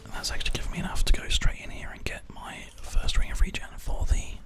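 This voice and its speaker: A video game host giving whispered ASMR play-by-play, thinking aloud in an unhurried, hushed way close to a binaural microphone. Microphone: binaural microphone, close to the ear. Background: computer keyboard typing and mouse clicks.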